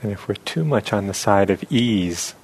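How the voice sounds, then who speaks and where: A man leading a guided meditation as a teacher, speaking reflectively in short phrases with long, unhurried pauses. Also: lowish voice, animated, breathy edge.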